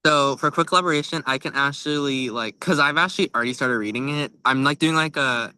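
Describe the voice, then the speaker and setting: A man's voice: mid-range voice, a little fast, sharp tone, smooth, dry recording. A man talking casually about books as a podcast co-host, informal and conversational. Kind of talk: casual book chat.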